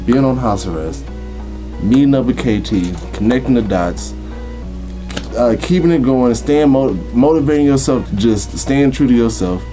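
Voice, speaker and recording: A man's voice: mid-range voice, normal speed, over music, poor audio. A man in his thirties hosting an interview in a studio, asking questions in a hesitant, stammering way with many fillers. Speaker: interview host. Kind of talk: casual interview. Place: small recording studio room.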